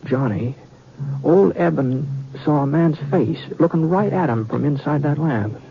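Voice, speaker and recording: An actor playing a folksy western yarn-spinner, narrating with dramatic pauses in old-time radio style. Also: male voice, fairly high pitch, animated, creaky. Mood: folksy, suspenseful. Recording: dull vintage radio recording.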